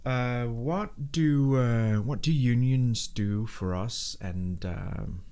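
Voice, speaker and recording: A man's voice: mid-range voice, extremely slow, mellow, smooth. A man hosting a live programming stream, chatting casually and reading viewers' messages, with many fillers and restarts. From Denmark.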